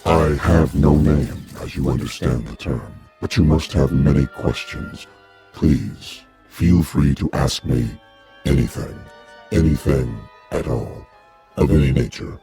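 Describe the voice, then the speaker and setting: Towering alien envoy, radio drama; very low, mellow, formal and unhurried, music under. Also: male voice. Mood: solemn. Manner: solemn, measured formal address.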